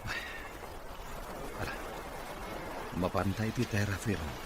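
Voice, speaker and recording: Low voiced filipino rich boss in a wheelchair, weary n bitter, radio drama, noisy background. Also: male voice, slow. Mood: determined. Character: weary wealthy man in a wheelchair, employer, bitter about cousin. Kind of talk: Ilocano radio drama acting. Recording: noisy, ambient background sound.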